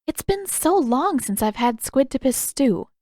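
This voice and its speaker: An earnest young teenage girl from a storybook, curious and a little unsettled, voiced by a woman narrator. Her voice sits slightly high, in a very dry recording. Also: expressive, unhurried, warm tone, no echo. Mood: wistful, content. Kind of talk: audiobook character dialogue.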